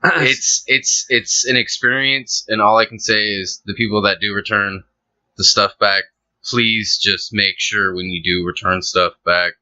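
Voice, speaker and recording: A young man with a low, strikingly bright and forceful voice chats in a laid-back, joking way. He rambles a little, with fillers, as a podcast co-host. The recording is dry and dull, like a lo-fi home mic. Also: fairly even intonation, a little slow, no echo. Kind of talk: casual podcast chat.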